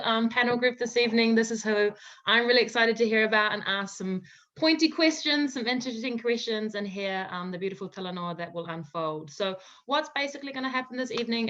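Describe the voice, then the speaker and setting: A woman's voice: on the high side, fairly bright tone. A woman hosting a casual online panel, upbeat and effusive as she introduces speakers over a video call. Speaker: panel host. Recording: slightly dull video-call audio.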